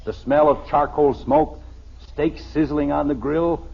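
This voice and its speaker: Stubborn American suburban dad, middle-aged, testy and husky, highish voice, dull vintage radio recording. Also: male voice, fairly even intonation, unhurried. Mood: blustery. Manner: blustery, exasperated. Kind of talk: 1950s American radio sitcom acting.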